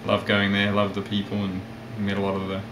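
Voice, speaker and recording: A man of about thirty with a mixed South African, English and Australian accent, chatting casually and a little haltingly as an interview guest. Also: on the low side. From South Africa, with years in England and Australia. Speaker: interview guest, ukulele player and magazine editor. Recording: roomy echo, steady background noise.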